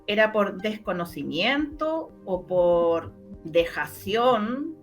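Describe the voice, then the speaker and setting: A woman's voice: mid-range voice, sing-song, takes it slowly, faint music behind. A middle-aged Chilean woman speaking informally as an interviewee, often searching for words and repeating her phrases as she explains her research. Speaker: interviewee, researcher and former radio journalist.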